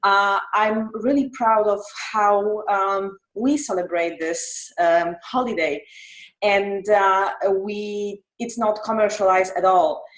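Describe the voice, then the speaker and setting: A woman with a Polish accent, a native Polish speaker, giving a halting impromptu speech. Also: mid-range voice, fairly even intonation. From Poland. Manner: halting, hesitant. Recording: echoey room sound.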